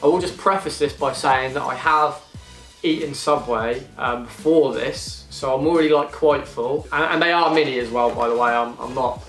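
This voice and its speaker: A man's voice: medium pitch, normal speed, fairly bright tone, echoey room, soft background music. A young man in his twenties from England, chatting casually in a self-deprecating, rambling way while eating through a vlog Q and A. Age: 20s. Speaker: YouTuber.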